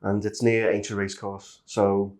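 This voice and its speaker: A man's voice: on the low side, completely flat delivery, moderate pace, a little mellow. A middle-aged man from Liverpool with a Liverpool accent, chatting as a guest in a casual interview. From Liverpool, England. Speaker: interview guest, industrial safety manager.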